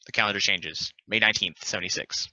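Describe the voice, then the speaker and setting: Male stage-directions reader; low but sharply forward voice, scripted narration, dry and slightly dull recording. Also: fast, sharp tone, dry recording. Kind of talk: scripted narration in a play table read.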